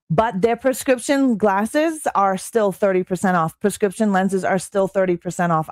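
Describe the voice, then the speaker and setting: Streamer: young woman from Canada, excitable and chatty with lots of fillers, voice a bit strained, dry close sound. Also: medium pitch, no room sound. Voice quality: slightly strained voice. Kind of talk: casual live-stream chat reading chat.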